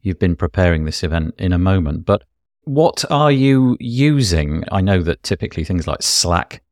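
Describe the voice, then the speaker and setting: A man with a deep, low voice asks long, winding questions in a courteous, slightly apologetic way. The recording is dry and close, with no room echo. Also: lively intonation, normal speed, a little mellow. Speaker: interviewer. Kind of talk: podcast interview.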